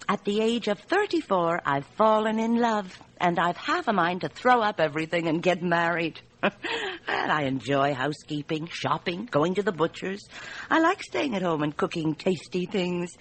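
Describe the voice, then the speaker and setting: A woman's voice: on the low side, very animated, normal speed. An actress playing a candid, amused Frenchwoman in her thirties in a radio drama, chatty and hesitant. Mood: happy, chatty. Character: candid, amused 34-year-old Frenchwoman, plump bar hostess.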